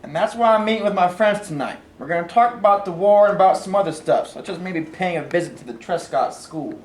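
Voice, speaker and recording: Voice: medium pitch, lively intonation, brisk, close to an everyday voice. A performer playing a governor's earnest, stubborn son in a staged play reading. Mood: defiant. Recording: echoey room, distant, faint noise.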